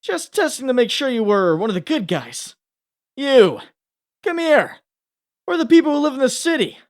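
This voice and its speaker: Young wisecracking hero played by a woman: high, animated, casual and quippy. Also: normal speed. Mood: flippant. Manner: quippy, flippant, conversational. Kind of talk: comic dub voice acting.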